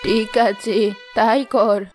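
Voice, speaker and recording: A woman playing a gentle, principled village mother in a cartoon moral story, speaking softly and earnestly. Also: a little high, fairly even intonation, slow pace, soft background music. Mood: tender, weary.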